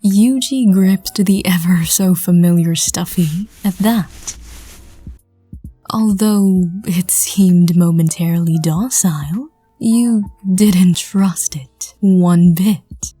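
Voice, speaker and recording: A woman's voice: on the low side, slow, mellow, husky, dry recording, faint music behind. A woman narrating a fan audio drama and voicing the listener's part, stammering and laughing self-consciously.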